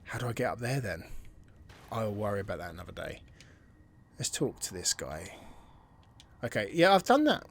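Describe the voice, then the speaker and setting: A man's voice: lowish voice, very animated, moderate pace, pauses often, a little hiss or hum. Man thinking aloud over gameplay. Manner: musing, thinks aloud, drops to near-whispers at times. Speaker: gameplay commentator. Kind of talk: casual let's-play commentary.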